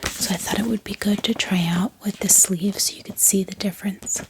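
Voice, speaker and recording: A woman hosting a bookish ASMR video in a soft whisper, talking gently and informally to her viewers without hurry. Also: mid-range voice, steady tone, fairly quick. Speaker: book haul ASMR host.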